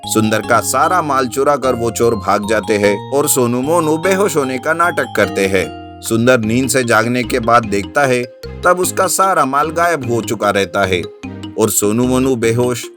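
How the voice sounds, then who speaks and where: A man narrating an animated children's story from a script. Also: medium pitch, unhurried. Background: background music.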